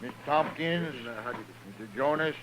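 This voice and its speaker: An actor playing a self-important, indignant frontier hotel owner in a 1950s radio western, pompous and insistent. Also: male voice, medium pitch, animated, unhurried, close to an everyday voice. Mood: officious. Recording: noisy old radio recording.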